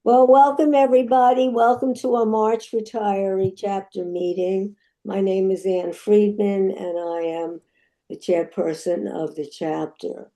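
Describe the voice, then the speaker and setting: An older woman chairing a retirees' meeting, introducing speakers slowly with many fillers over a video call. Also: medium pitch, fairly even intonation, mellow. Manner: slow, halting, with many fillers. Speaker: chapter chairperson.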